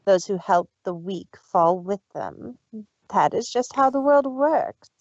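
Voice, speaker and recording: A woman's voice: medium pitch, expressive, takes it slowly, darkish tone, no echo, dull audio. A woman voicing a cruel, arrogant big-sister mare villain in a dramatic story reading, taunting and condescending. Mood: menacing. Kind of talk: dramatic fan fiction reading.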